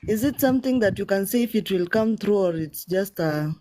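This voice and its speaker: Woman caller from Kenya, mellow, hesitant, faint background noise. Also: mid-range voice, animated, fairly quick. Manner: hesitant, polite, restarts often. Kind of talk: call-in chat.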